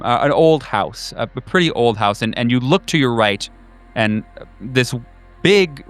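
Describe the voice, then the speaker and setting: A man working as game master, improvising narration for a horror tabletop game in a halting, informal way. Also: fairly low pitch, fairly bright tone, smooth. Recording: music behind the voice. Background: background music.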